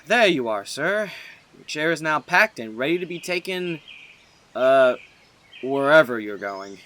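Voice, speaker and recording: A man's voice: on the high side, sing-song, a little slow, sharp tone, full character voice. A man voicing a disgruntled, sarcastic young furniture store employee in a casual, grumbling audiobook performance. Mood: awkward politeness. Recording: echoey hall with long reverb.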